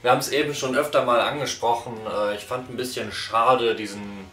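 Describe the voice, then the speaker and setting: A man in his thirties in a casual review chat, unhurried and hedging. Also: mid-range voice, fairly even intonation, fairly bright tone, soft background music. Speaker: podcast reviewer. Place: small living room, on a sofa. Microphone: far camera. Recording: echoey room from far off.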